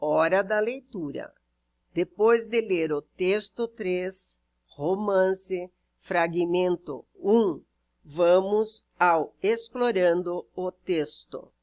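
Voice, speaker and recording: Brazilian lady teacher going over answers real slow, kinda low voice, spells everything out. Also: darkish tone. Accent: Brazilian Portuguese. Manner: slow, deliberate, explains each answer step by step. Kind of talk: reading out and correcting a workbook exercise.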